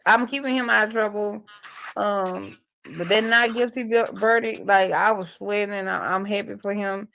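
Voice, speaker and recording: A woman's voice: mid-range voice, fairly even intonation, moderate pace, creaky, a bit bright, slight background noise. A woman in her forties hosting a casual livestream, telling rambling, profane stories to her viewers. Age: 40s. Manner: loose, profane, rambling storytelling. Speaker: livestream host. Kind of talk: casual live chat.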